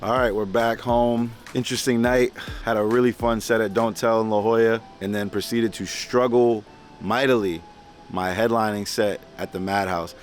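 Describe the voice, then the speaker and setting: A young man talking casually to camera in a vlog, relaxed and reflective. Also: lowish voice, moderate pace. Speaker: vlogger.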